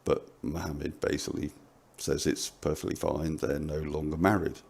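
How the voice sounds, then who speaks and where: Really low voiced guy, husky and crackly, halting casual commentary. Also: little pitch movement, darkish tone. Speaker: panelist on a commentary show.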